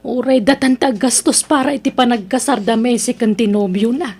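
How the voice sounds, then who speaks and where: A woman's voice: a little high, moderate pace, a little mellow, husky. A woman acting in an Ilocano radio drama as an accommodating older mother and wife, with an Ilocano accent. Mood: questioning.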